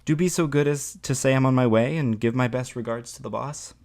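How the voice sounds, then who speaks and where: A man's voice: mid-range voice, brisk, a little mellow, voice pushed up, close to an everyday voice. A man voicing an anxious clerk turned insect from an audiobook story, pleading in formal, deferential, blurted speech.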